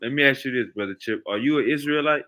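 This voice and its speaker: A man, his voice bright and forceful, questioning a guest in a relaxed talk about scripture. He leans on pointed rhetorical questions and keeps pushing back. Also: mid-range voice, fast talker. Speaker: host. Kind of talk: casual debate about scripture.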